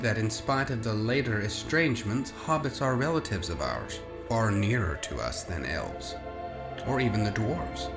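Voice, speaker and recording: Deep-ish guy reading a fantasy audiobook, pitch moves around a lot, music playing behind him. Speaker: audiobook narrator. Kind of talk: scripted storybook narration. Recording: roomy echo under orchestral music. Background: orchestral music.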